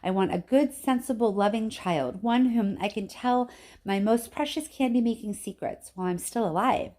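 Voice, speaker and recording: A woman's voice: on the high side, normal speed, a little mellow, close to an everyday voice. A woman voicing an eccentric, eager old male factory owner with an emphatic, cheerful, insistent way of talking in a storybook reading. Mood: earnest, confiding. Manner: emphatic, exclamatory.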